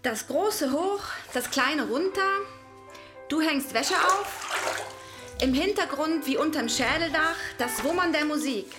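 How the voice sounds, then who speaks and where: Female poetry performer, deliberate and unhurried, theatrical, high voice, music underneath. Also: expressive.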